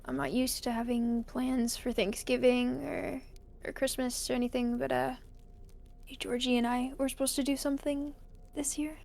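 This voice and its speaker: A woman playing a weary, lonely college student recording a halting personal log in an audio drama. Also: high-pitched, steady tone, vocal fry, darkish tone. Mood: wistful. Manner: hesitant, halting, sighing. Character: weary, lonely college student stuck alone in a snowbound house.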